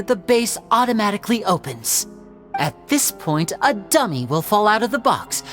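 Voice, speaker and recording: An actor voicing a suave, theatrical young stage magician in a video game, explaining a trick in a smooth, measured way. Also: male voice, sits high. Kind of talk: video game cutscene dialogue. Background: soft background game music.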